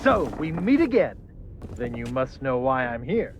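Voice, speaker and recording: A man's voice: mid-range voice, sing-song, a little slow, background noise. A male actor playing a vain, boyish, self-important pirate hero with theatrical delivery in a video game. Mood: heroic. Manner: pompous, theatrical. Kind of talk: video game cutscene acting.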